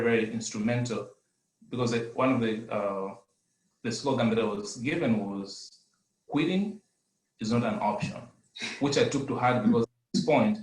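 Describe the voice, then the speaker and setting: A man's voice: lowish voice, echoey room, poor audio. A middle-aged man from Zambia with a Zambian accent, giving an earnest personal testimony over a video call, with hesitant fillers. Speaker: adult education graduate giving testimony.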